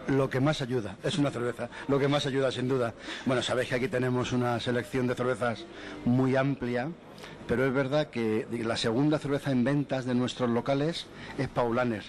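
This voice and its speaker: A man's voice: medium pitch, steady tone, brisk. Enthusiastic man talking up his business. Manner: enthusiastic, salesy. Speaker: bar owner guest. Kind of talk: interview answers.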